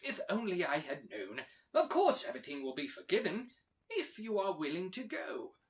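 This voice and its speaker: A man's voice: high-pitched, wildly swooping pitch, normal speed. Man voicing an emphatic, authoritative storybook professor. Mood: conciliatory. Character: stern older male professor, museum director, commanding.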